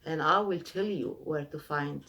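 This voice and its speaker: A woman voicing a calm old wizard who speaks in an unhurried, knowing way. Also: fairly high pitch, rough recording.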